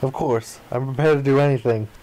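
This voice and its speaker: A man's voice: mid-range voice, steady tone, fairly quick, warm tone, a little hiss or hum. A man reading visual novel narration and dialogue aloud, acting out the lines as he goes. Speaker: gaming video player reading aloud.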